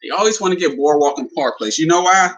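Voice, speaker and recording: A man's voice: on the high side, quick pace, background noise. A man hosting a casual livestream, talking to viewers in a plain, friendly way with lots of fillers. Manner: talks in a plain, simple way with many "you know" fillers; friendly, addresses viewers as "guys". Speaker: livestream host presenting a business opportunity.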